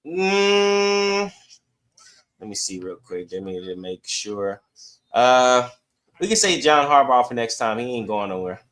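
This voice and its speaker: A man with a middle-pitched voice speaks as a sports podcast co-host. His delivery is casual banter, like everyday talk between co-hosts. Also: sing-song, slow pace, long pauses, a bit bright.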